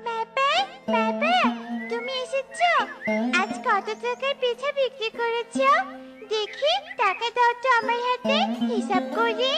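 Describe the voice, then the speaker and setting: A woman's voice: very high voice, unhurried. A woman voicing a sweet, caring little bird daughter in a children's cartoon, childlike and affectionate, with music behind.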